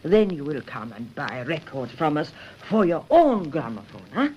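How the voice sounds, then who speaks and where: A woman's voice: low-pitched, big pitch swings, moderate pace, darkish tone, breathy edge, dull audio, heavily acted. An actress playing a kindly, motherly immigrant shopkeeper's wife, coaxing and fond in a radio drama. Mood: kindly, encouraging.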